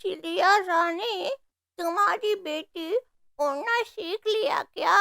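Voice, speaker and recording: A woman's voice: extremely high pitch, slow pace, creaky, sharp tone, no room sound, studio quality, voice pushed up, heavily acted. A woman voicing a callous, meddling female crow in a cartoon story, with a pushy, insinuating way of talking. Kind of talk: Hindi cartoon story voice acting.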